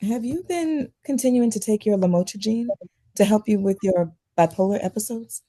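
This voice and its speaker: A woman playing a concerned, guarded psychiatrist with a measured professional manner at a drama table read. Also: mid-range voice, mellow, close to an everyday voice. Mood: calm concern. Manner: measured, professional, hesitant at times.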